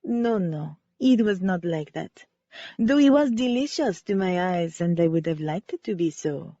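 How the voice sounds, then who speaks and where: A woman voicing a petite female ballerina who speaks in formal, halting English with stiff, careful phrasing, in an audiobook. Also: on the low side, animated, dark tone, no room sound, muffled recording. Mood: earnest.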